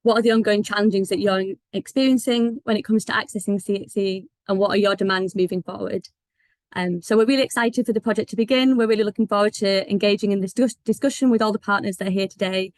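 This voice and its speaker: A woman's voice: medium pitch, fairly even intonation, fast talker, darkish tone, no room sound. A woman with a failing voice, giving informal welcome remarks as a senior advisor, with many ums and restarts. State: losing her voice. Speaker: senior advisor at a partner organization. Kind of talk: welcome remarks at an online meeting.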